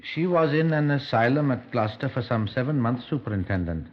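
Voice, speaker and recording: An actor playing a pompous, deliberate country doctor with formal, hesitant phrasing in a 1950s radio drama. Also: male voice, medium pitch, animated, natural voice. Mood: confiding. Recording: dull old 1950s radio recording.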